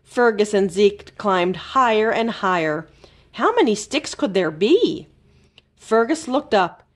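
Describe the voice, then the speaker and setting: A woman storyteller reading a children's book aloud expressively, with careful, dramatic emphasis and pauses. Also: mid-range voice, sing-song. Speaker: library storyteller.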